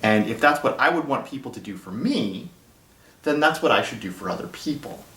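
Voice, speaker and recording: A man's voice: mid-range voice, animated, a little fast, bright, forceful tone. Guy answering interview questions for kids, chatty with simple examples, echoey, bit far from the mic. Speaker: guest, philosophy lecturer. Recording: roomy echo, distant from microphone.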